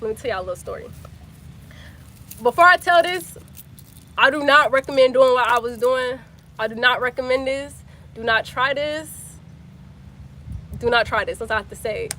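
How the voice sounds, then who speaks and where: Young woman, early twenties, slangy and repetitive chatter, slightly high voice, phone mic with faint street noise. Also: animated, normal speed, long pauses, bright, forceful tone. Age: 20s. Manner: chatty, repeats phrases, slangy. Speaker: livestreamer. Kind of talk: casual live chat with viewers.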